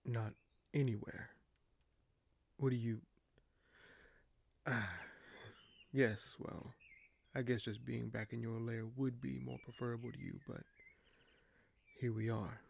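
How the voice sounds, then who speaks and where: A man playing a weary, paranoid, dryly candid king in an audio roleplay, speaking in measured, formal phrases with hesitant pauses. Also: medium pitch, level tone, gravelly, darkish tone, a little hiss or hum. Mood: guarded, wry. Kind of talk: audio roleplay monologue to a silent listener.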